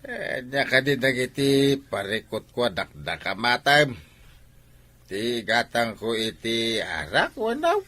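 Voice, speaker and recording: A man's voice: medium pitch, big pitch swings, slow, pushed and bright, exaggerated voice. An actor with a Filipino Ilocano accent playing a troubled, exclamatory drinking father in a radio drama.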